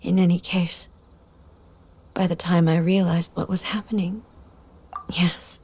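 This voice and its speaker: A woman playing a solemn, grieving immortal ruler in a video game, speaking formally and softly with measured composure. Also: lowish voice, level tone, slightly rough. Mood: sorrowful. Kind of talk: dramatic video game voice acting.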